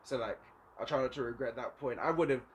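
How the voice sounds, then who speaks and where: A man's voice: mid-range voice, fairly quick, slight background noise. A man hosting a podcast in casual chat, eager and slangy, often chiming in to agree. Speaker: podcast host.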